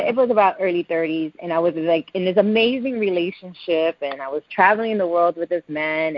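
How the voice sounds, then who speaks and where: A woman in her thirties with a Puerto Rican accent, telling her life story as a podcast guest in a fast, excited way. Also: on the low side. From Puerto Rico. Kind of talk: storytelling interview. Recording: dull, lo-fi podcast audio.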